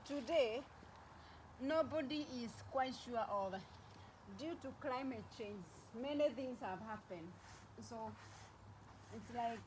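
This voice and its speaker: A woman's voice: a little high, noisy background. A woman from Kenya with a Kenyan accent chatting casually in a rambling vlog, repeating phrases for emphasis. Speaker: vlogger.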